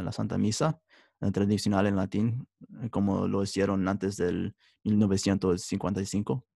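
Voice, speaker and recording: A young man, a religious brother studying for the priesthood, speaking Spanish as a third language and answering in a halting way with many restarts. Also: lowish voice, creaky, warm tone, no echo, clean studio sound. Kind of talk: interview answers.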